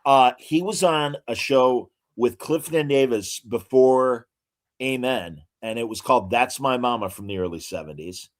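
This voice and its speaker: A man co-hosting a relaxed podcast with a mid-range voice. He works through actor credits with many hesitant pauses. He breaks off now and then into showman asides to the listeners. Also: mid-range voice. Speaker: podcast co-host. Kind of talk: casual podcast chat.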